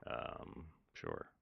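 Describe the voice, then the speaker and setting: A man in his thirties with a very low voice chatting casually on a livestream from his home studio, rambling and hesitant, with many fillers and trailing-off sentences. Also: no room sound, phone-quality sound. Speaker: livestreaming music producer. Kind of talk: casual livestream chat while working.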